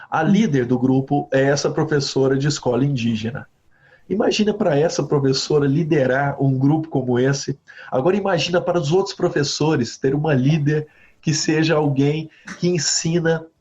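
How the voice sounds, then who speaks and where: A man from Brazil, a university professor, answering interview questions with animated stories, often ending phrases with a questioning tag. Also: fairly high pitch. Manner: animated storytelling with frequent "né?" tags. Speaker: guest professor. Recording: slightly dull lo-fi audio.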